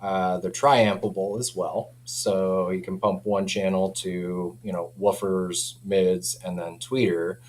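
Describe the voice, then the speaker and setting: A man's voice: sits low, flat delivery, slow, hardly any pauses, darkish tone, slight background noise. A man speaking informally as a company representative in a casual product chat, often framing things as personal opinion. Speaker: audio company representative and interview guest.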